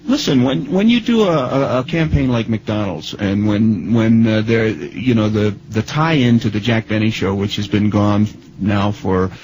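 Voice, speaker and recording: A man with a fairly low voice works as a radio interviewer. He talks conversationally, feeling his way through long, halting questions and comments. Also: darkish tone, dull audio.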